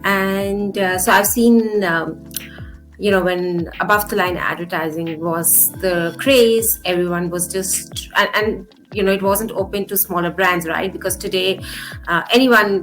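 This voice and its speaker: A woman's voice: fairly low pitch, steady tone, normal speed, a bit bright, soft background music, poor audio. A woman in her forties, originally from India, answering interview questions on marketing thoughtfully, with frequent fillers. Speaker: marketing guest.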